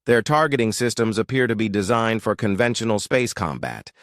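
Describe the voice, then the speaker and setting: A man's voice: on the low side, expressive, a little fast, no echo, studio quality, lightly acted. A man voicing a composed female science officer in a sci-fi story, reporting analytically and formally.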